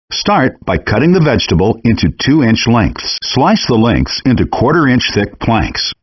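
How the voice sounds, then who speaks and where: A man reading scripted cooking-game instructions in a measured, clearly enunciated, explanatory way, in dull compressed audio. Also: medium pitch, dry recording.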